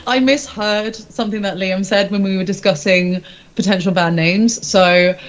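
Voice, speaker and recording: A woman's voice: mid-range voice, steady tone, measured pace, highs cut off. A woman chatting casually as an interview guest, with an informal, rambling, giggly manner. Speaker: rock band singer interviewed as guest. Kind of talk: casual chat.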